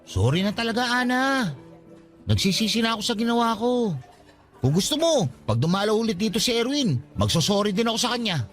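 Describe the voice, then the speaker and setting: Eager young suitor, high, animated. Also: male voice, fairly quick, faint music behind. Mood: apologetic. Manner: pleading, coaxing. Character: short, earnest, eager-to-please young Filipino suitor. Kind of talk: radio drama acting.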